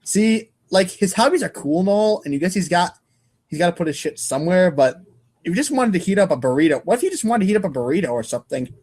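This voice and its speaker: Wry man reading comic narration, slightly high voice. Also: animated, fast talker, a little mellow. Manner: wry, irreverent, stumbles over lines. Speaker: podcast co-host and reader. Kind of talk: casual read-aloud of comic narration.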